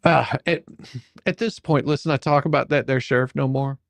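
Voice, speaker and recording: A performer doing a comedic dramatic reading as a rambling, halting, faux-folksy lawyer questioning a witness in a deposition. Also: mid-range voice, vocal fry, no room sound, natural voice. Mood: mock sarcastic. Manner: halting, repetitive, mock-sarcastic, stumbling over questions.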